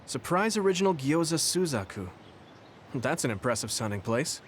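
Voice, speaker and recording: An actor playing a polite, caring young soldier in a video game, speaking gently and earnestly. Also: male voice, a little high, very animated, moderate pace, a little hiss or hum. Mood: curious, lighter. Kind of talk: English video game voice acting. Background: soft background music.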